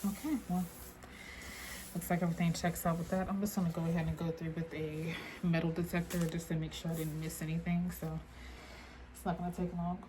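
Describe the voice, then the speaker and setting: Loud noise and clicks surround a woman playing a rude female airport security agent in an ASMR roleplay. Her voice sits a little low and stays soft-spoken. She mutters with dry, suspicious sarcasm, taking her time. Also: flat delivery, brisk, close to an everyday voice. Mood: dry, methodical.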